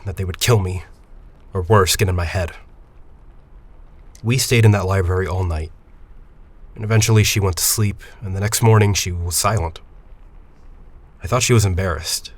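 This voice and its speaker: A man playing a composed, persuasive university headmaster in an audio drama, speaking in a formal, deliberate way. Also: deep voice. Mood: somber, confiding. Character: composed, persuasive university headmaster, grieving a colleague, quietly calculating.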